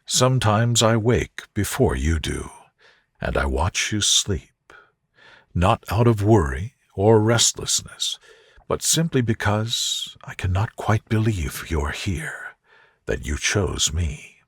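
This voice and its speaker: A man voicing a reserved, devoted gentleman in love, in a soft murmur for a bedtime story. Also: fairly low pitch, lively intonation, slow pace, breathy edge, no echo, clean studio sound, exaggerated voice. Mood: quiet, devoted. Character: devoted, humbled, reserved gentleman lover, Darcy. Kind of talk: soothing sleep-story character reading.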